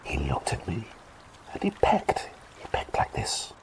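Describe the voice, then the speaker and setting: A man voicing a quirky male talking bird plague doctor, telling rambling bedtime stories in a soft ASMR whisper. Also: wildly swooping pitch, measured pace, exaggerated voice, rough recording. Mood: gentle whisper. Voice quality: deep creaky whisper. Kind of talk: ASMR roleplay bedtime storytelling.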